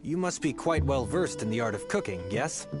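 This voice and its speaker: A man's voice: medium pitch, animated, normal speed, lightly acted. A man voicing a polite, hospitable innkeeper in a video game. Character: courteous male innkeeper, polite. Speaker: game character. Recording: game audio under music.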